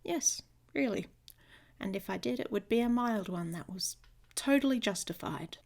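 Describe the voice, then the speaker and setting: A woman playing a hot-tempered, protective she-wolf in an intimate ASMR roleplay, with a husky, breathy voice and a blunt, sardonic manner. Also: medium pitch, expressive, moderate pace, a little mellow. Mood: angry, defensive. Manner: sardonic, blunt, speaking straight to the listener.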